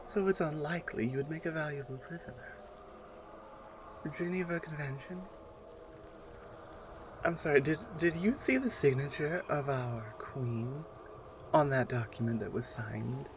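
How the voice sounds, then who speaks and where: A man playing a menacing, taunting non-human warrior captor in an ASMR roleplay, speaking deliberately and with command. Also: fairly high pitch, background noise. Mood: smug, menacing. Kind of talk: ASMR roleplay audio drama.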